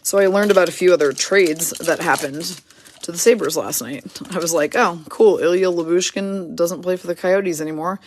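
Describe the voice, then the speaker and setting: Hoarse woman rattling off card lists. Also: fairly low pitch, a little hiss or hum. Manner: rattles off player names and teams in quick lists. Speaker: card break host. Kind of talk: casual card-opening commentary.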